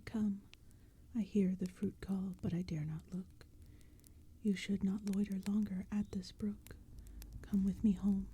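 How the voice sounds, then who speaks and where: A woman reading poetry in a soft, breathy ASMR whisper, with a gentle, hushed storytelling manner. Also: mid-range voice. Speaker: audiobook reader. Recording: faint ambient crackling fire and forest sounds. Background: crackling fire, forest ambience.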